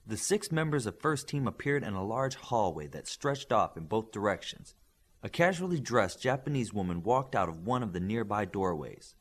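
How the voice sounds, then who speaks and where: A man's voice: lowish voice, expressive, moderate pace. A man reading a scripted story aloud as an audiobook narrator. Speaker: audiobook reader. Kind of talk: scripted fiction narration with acted dialogue.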